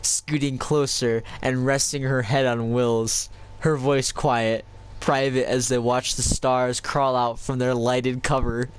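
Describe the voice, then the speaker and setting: A man reading fan fiction aloud in a wry, deadpan way, recorded on a console headset. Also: medium pitch, takes it slowly, slight background noise. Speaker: reader. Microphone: game console headset.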